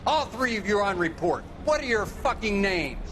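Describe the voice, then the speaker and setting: A man's voice: sits high, big pitch swings, moderate pace, background noise. A man playing an aggressive, sarcastic, foul-mouthed American Marine sergeant in a video game. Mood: scornful. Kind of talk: video game cutscene acting.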